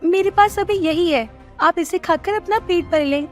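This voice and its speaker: A woman voicing a kind, earnest young village woman in an animated moral story. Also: extremely high pitch, moderate pace, faint music behind, voice pushed up.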